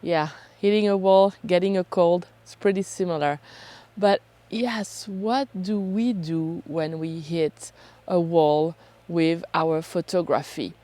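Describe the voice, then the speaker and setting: A woman, hoarse from a cold, talking casually and chattily to camera as a self-deprecating vlog host. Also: fairly low pitch, a little mellow. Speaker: photography vlogger and host.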